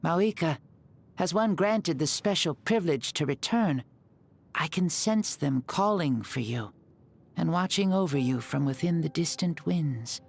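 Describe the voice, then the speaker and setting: A man's voice: a little high, expressive, unhurried, gravelly, breathy edge, full character voice. A man playing a gracious, good-humoured ancient hero in a video game, with an eloquent, theatrical delivery. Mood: solemn. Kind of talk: video game character acting.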